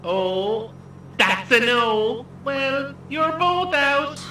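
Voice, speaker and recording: A woman's voice: sits high, fairly even intonation, extremely slow, pushed and bright, roomy sound, recorded from a distance, slight background noise, full character voice. A woman with an Irish accent playing a chirpy, ghoulish tour guide in an audio drama, with theatrical, jokey patter for a group. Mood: brisk, teasing. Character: chirpy, ghoulish female tour guide hosting a coach game. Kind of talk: audio drama acting, announcing.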